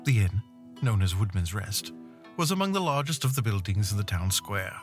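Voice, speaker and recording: A man playing a storybook narrator, telling a scripted holiday tale in a deliberate, dramatic way. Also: on the low side, sing-song, music in the background. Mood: descriptive.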